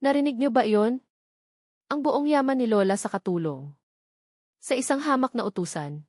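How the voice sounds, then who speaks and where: A woman's voice: fairly high pitch, animated, moderate pace, darkish tone, dry recording, studio quality, pitched above the performer's own voice. A woman voicing a spoiled young heiress in a drama story, furious, snarling and full of contempt. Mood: outraged disbelief.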